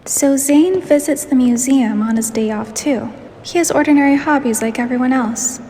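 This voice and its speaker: A woman's voice: high voice, normal speed, mellow, slight background noise, natural voice. A woman playing a shy, curious young heroine in a game story, speaking her dialogue and inner thoughts. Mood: shy, musing. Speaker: voice of the player heroine, fan narration. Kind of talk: acted visual novel dialogue and inner monologue.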